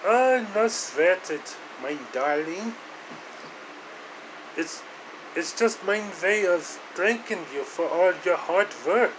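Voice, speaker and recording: Guy playing sweet easygoing young best friend, high voice, slow talking, reassuring, storybook thing. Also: lively intonation. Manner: coaxing, reassuring. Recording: clear room hum and hiss.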